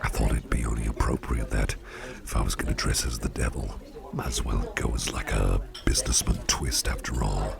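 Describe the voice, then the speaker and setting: A man playing a suave, doting devilish businessman boss in a soft-spoken ASMR roleplay, murmuring with courtly, unhurried gratitude and slipping into gravelly whispers. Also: rumbling low, flat delivery, heavily acted. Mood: fond, courteous. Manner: courtly, unhurried, repeats phrases appreciatively. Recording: party crowd murmur behind voice. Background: crowd chatter.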